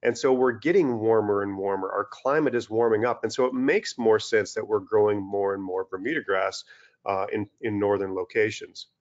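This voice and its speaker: A middle-aged man from Western Canada giving a relaxed webinar lecture over slides from a small room, with many fillers and restarts. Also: on the low side, normal speed. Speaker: turfgrass scientist presenting a webinar. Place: small indoor room, video call. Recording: dull lo-fi video-call audio.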